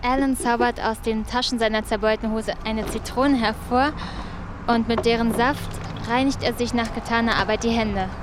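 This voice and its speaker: High-voiced woman radio reporter played by an actress. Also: fairly even intonation, moderate pace, background noise, lightly acted. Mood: probing, composed. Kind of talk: radio drama documentary reporting.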